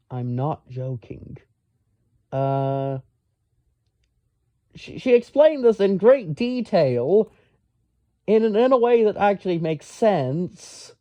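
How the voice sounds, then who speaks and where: A man telling a casual, rambling story in a pedantic, exasperated, halting manner. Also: high voice, no room sound, muffled recording. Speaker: storyteller, amateur science enthusiast.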